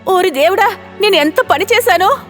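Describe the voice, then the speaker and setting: A woman voicing a protective village mother in an animated story, speaking with strong emotion. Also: very high voice, moderate pace. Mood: remorseful. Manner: emphatic, emotional delivery. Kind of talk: cartoon voice acting. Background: faint background music.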